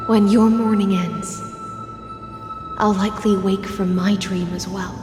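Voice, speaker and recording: Actress for a solemn, resolute game heroine with unhurried poetic delivery; reverberant, music behind. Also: female voice, medium pitch, steady tone, natural voice. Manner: slow, lyrical, deliberate delivery. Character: solemn, tender mythic heroine, mournful and resolute. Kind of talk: poetic video game monologue. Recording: echoey long reverb under loud music.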